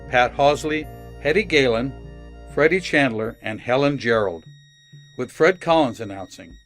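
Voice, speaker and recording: A man's voice: mid-range voice, no echo. A man reading scripted closing credits as the announcer of a radio drama. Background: music.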